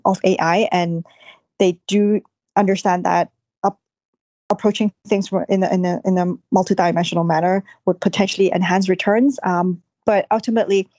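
A woman's voice: mid-range voice, a little mellow, no room sound. A woman, a company CEO on a podcast, answering thoughtfully with many fillers and restarts.

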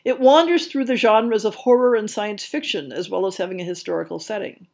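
Female podcast host, medium pitch, scripted narration, articulate and bookish. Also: a little fast, gravelly.

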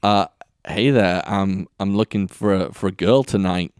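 A man's voice: low-pitched, moderate pace, darkish tone, smooth, dry recording, clean studio sound, pitched below the performer's own voice, natural voice. A man playing a nervous caller on a phone-sex line, stammering and hesitant. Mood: awkward. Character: awkward nervous male caller.

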